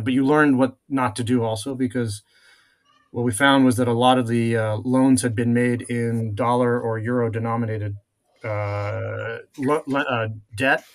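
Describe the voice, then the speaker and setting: Measured middle-aged man, halting with fillers. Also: mid-range voice. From France. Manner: measured, many filler pauses, occasional chuckle. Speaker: guest, venture capital investor. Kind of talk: conversational interview answers.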